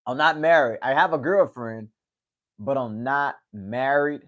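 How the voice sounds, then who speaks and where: A man's voice: mid-range voice, a little slow, fairly bright tone. Rambling young man on a livestream. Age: 20s. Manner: rambling, repeats words, says "man" a lot. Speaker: livestream host. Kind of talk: casual livestream chat.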